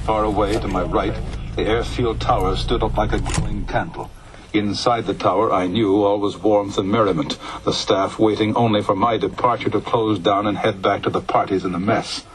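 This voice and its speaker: A man reading a story aloud in measured, descriptive narration. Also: on the low side, fairly even intonation, low-quality recording. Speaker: story reader. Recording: radio broadcast played in a car, faint noise.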